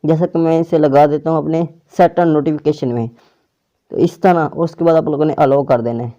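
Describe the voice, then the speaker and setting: A man's voice: fairly high pitch, fairly even intonation, a little fast, warm tone. A man presenting a casual step-by-step app tutorial, often repeating and rephrasing his instructions. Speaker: tutorial host. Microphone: clip-on or plugged-in microphone. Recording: dull, muffled lo-fi microphone.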